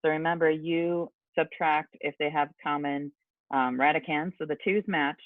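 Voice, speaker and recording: A man's voice: a little high, fairly even intonation, moderate pace, dry recording. A man teaching a casual online math lesson, chatty with rambling asides, his voice scratchy from allergies. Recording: dry, tinny phone-like sound.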